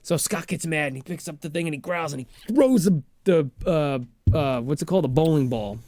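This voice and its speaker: High-voiced man rambling through a recap. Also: lively intonation, normal speed, a little mellow, no room sound. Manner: rambling, repeats phrases. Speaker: podcast host. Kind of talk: improvised casual film recap.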